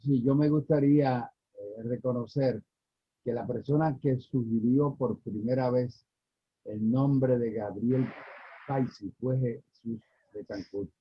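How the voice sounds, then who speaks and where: A man's voice: medium pitch, takes it slowly, slightly rough, no echo. A man with a Puerto Rican accent, a club member speaking up eagerly on a video call. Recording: dry muffled call audio.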